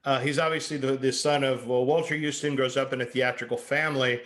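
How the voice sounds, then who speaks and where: A man speaking in an informal lecture discussion, with a halting, conversational delivery. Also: mid-range voice, steady tone, a bit bright.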